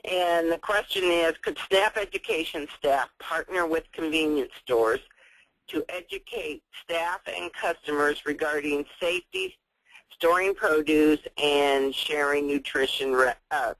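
A man's voice: fairly high pitch, unhurried, fairly bright tone, dry recording, rough recording. A man moderating a webinar, reading out audience questions and adding brief acknowledgments.

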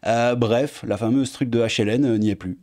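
A man in his thirties with a Belgian French accent, talking in a casual, sarcastic commentary vlog from his home desk and jumping into high mocking tones. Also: normal speed. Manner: sarcastic, animated, swings pitch up for mock emphasis. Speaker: YouTuber commentator.

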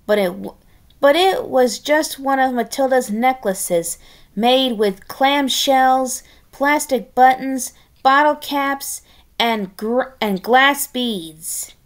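Slow-paced, higher-voiced woman reading aloud. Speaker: storybook reader. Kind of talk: expressive read-aloud with wry asides.

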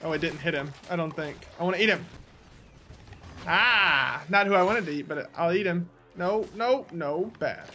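A young man with a high-pitched voice for a man, giving playful, casual commentary while he plays, talking to himself and his viewers through a headset mic in a small room at home. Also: normal speed, slight background noise. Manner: playful, talks to himself and the viewers, sudden loud yelps. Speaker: gaming YouTuber.

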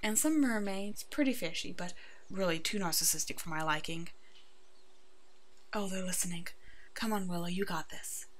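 A woman voicing a brave, protective werewolf in an ASMR roleplay, soothing and halting, with a soft, hushed delivery. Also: mid-range voice, slight background noise, natural voice.

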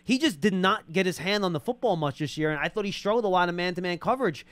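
Male American sports host, high-pitched, rapid-fire interviewer, probing. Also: lively intonation, fairly bright tone, no echo. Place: small indoor studio. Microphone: close broadcast boom microphone.

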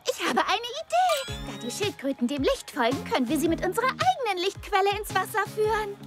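A spirited young girl from a children's cartoon. Her very high voice swings expressively and emphatically, full of determination. Loud music runs underneath. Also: big pitch swings, fairly quick. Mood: determined. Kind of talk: dubbed children's cartoon acting.

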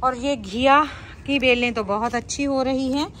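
A woman's voice: sits high, steady tone, normal speed, fairly bright tone. A woman casually narrating a garden tour as a vlogger.

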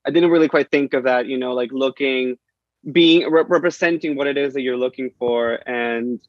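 A man's voice: medium pitch, normal speed, dry recording. Caller, man from Peru, about thirty, earnest, muffled call audio. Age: 30s. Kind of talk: casual chat. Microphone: video call with earbuds. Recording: dull video call sound.

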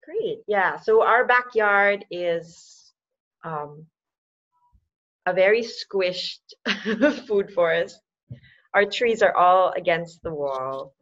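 A woman's voice: lowish voice, animated, drawn-out words, fairly bright tone. A woman in her forties from the Philippines, hosting a casual online talk and laughing often. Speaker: webinar host. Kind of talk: casual video-call hosting. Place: dim room at home. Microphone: laptop webcam mic. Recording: slightly muffled call audio.

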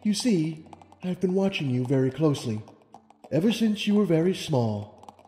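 A man voicing a gracious, benevolent female winged unicorn princess with measured authority. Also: on the high side, expressive, dark tone, voice pushed up, close to an everyday voice. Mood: serene. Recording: long hall reverb over music.

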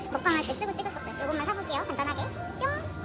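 A woman in her thirties chatting excitedly on a handheld camera while shopping in a busy mall. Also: high-pitched, fast talker, loud music behind the voice. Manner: bubbly, exclaims often. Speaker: vlogger. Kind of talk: casual vlog chat while shopping. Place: busy outdoor mall and market.

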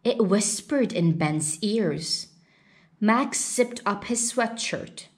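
A woman narrates a children's storybook with very varied, animated intonation. She takes her time over each phrase, reading slowly and deliberately in a mid-pitched voice. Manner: unhurried, deliberate read-aloud delivery. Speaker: storybook reader.

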